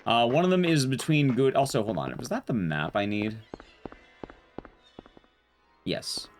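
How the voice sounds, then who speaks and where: A man's voice: mid-range voice, very animated, unhurried, faint music behind. A man giving casual, rambling live game commentary with chatty, jokey asides while streaming a speedrun.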